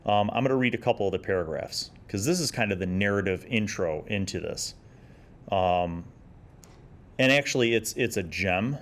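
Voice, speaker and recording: Man, lowish voice, casual review host, enthusiastic, rambling delivery. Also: normal speed, fairly bright tone. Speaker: host of a gaming retrospective show. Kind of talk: casual magazine review with read-aloud passages.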